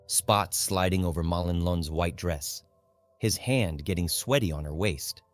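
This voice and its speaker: A low-pitched man reads scripted literary fiction as an audiobook narrator. His delivery is measured and dramatic, with soft music underneath. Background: faint music.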